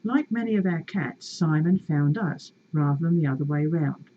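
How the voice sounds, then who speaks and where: A woman reading a story aloud in a measured, expressive and wry way, with casual asides to her viewers. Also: low voice, narrow, tinny audio.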